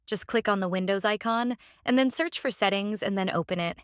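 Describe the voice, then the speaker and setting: A woman who speaks English as a second language hosts a step-by-step software tutorial in a halting, repetitive instructional way. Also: mid-range voice, clear, resonant tone, no room sound, studio quality. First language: non-native English speaker. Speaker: tutorial host.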